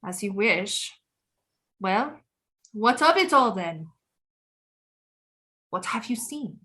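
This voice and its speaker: A woman's voice: mid-range voice, animated, takes it slowly, pushed and bright. An actress playing a proud, brooding queen mother in an audio play, resentful of her critics, with a regal, formal and dramatic delivery. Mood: imperious, questioning.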